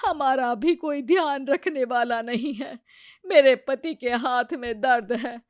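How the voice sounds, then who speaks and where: Woman playing a slow, long-suffering older mother-in-law. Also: high voice, dry recording, clean studio sound. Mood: plaintive. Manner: patient, plaintive.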